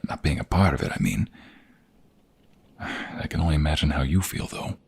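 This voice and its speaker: A man playing a tender, protective young mobster in an intimate ASMR romance roleplay, speaking softly and haltingly in a husky, gravelly, breathy voice. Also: rumbling low, very animated, heavily acted. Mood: gentle, concerned. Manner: soft, halting, gentle, intimate.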